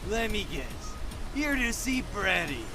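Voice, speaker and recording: A man's voice: sits high, sing-song, unhurried. An actor playing a hostile, foul-mouthed male wasteland gate guard who snarls threats. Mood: snarling. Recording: music behind the voice.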